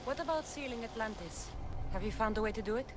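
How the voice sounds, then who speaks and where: A woman's voice: a little high, expressive, quick pace, noisy background, lightly acted. An actress playing a resolute, pragmatic woman adventurer who speaks firmly and earnestly in a video game cutscene. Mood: urgent. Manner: firm, earnest, direct.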